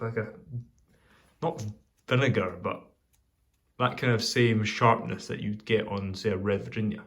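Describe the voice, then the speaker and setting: A Scottish man chatting casually in a video review, rambling and hesitant with many pauses and ums. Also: mid-range voice. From Scotland. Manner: pauses while lighting a pipe. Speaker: pipe tobacco reviewer.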